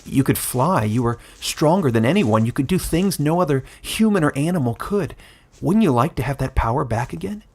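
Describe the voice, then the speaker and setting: A man's voice: on the high side, animated, normal speed, a little mellow, pitched above the performer's own voice. A man voicing a young exiled village boy who asks earnest, eager questions.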